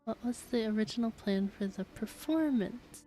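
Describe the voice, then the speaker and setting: A woman's voice: a little high, moderate pace. A woman streaming a game with casual live commentary, thinking aloud in a giggly, puzzled way. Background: soft game music.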